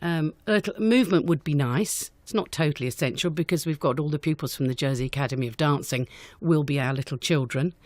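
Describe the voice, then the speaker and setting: A woman's voice: low-pitched, quick pace, hardly any pauses, darkish tone, no room sound. A woman answering questions in an unscripted radio interview, chatty and enthusiastic as a guest director. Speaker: guest, amateur pantomime director.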